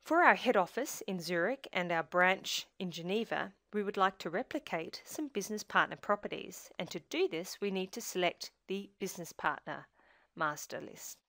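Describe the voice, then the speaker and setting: Dry-sounding woman narrating a software tutorial. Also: on the low side, expressive, no echo. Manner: measured step-by-step instruction. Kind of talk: scripted screencast narration.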